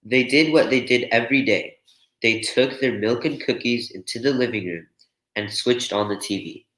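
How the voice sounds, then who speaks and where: A man's voice: medium pitch, moderate pace, fairly bright tone. Man reading a storybook in echoey room. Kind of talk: children's picture book read-aloud.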